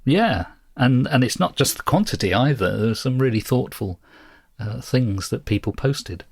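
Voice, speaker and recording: A man co-hosting a podcast in a casual, measured and thoughtful chatting style. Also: mid-range voice, lively intonation, vocal fry, slightly rough, dry recording, clean studio sound. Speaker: podcast co-host.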